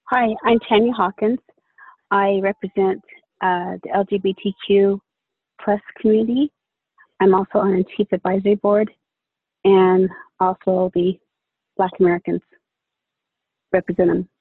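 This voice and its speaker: A woman briefly introducing herself at a meeting over a video call, a little halting. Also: medium pitch, flat delivery, breathy edge, no echo. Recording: tinny video-call audio.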